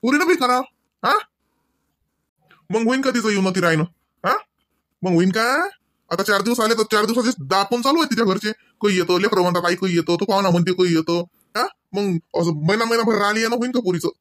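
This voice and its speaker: A man's voice: sits high, a little fast, fairly bright tone, no room sound, clean studio sound. A man playing a cranky older village husband in a Marathi comedy cartoon, with a rural Maharashtrian accent, hectoring his wife with rhetorical questions. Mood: scolding, exasperated. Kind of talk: comic cartoon dialogue, domestic argument.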